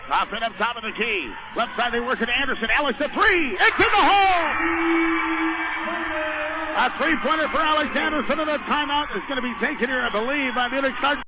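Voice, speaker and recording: An American man doing live radio play-by-play of a basketball game in clipped, running phrases. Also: very high-pitched. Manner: clipped, running description of the action. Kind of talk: live radio sports commentary. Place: indoor basketball gym during a game. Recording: noisy live radio gym broadcast.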